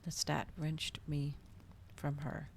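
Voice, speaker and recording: Female ASMR reader, low and creaky, hushed delivery drifting into whispers, faint noise behind. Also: completely flat delivery, slow. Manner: hushed, often slipping into whispers. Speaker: ASMR streamer reading a novel aloud. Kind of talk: ASMR storybook reading.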